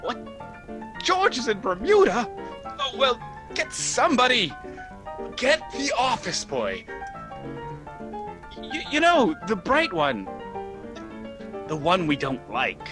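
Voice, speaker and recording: A man's voice: very high-pitched, wildly swooping pitch, slow pace, long pauses, over music, full character voice. A man playing a flustered, exasperated nephew in a radio comedy, stammering as he goes. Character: frantic, flustered theater critic nephew. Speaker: radio play actor. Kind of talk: theatrical radio comedy acting.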